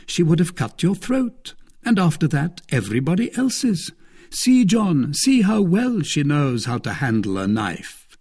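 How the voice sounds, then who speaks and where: A sardonic nobleman taunts his captive, as a male narrator puts him on. His voice is raised and lively and has a husky edge. The delivery is ironic and gloating, with a storybook feel. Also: medium pitch, exaggerated voice. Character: mocking, sardonic English lord, male.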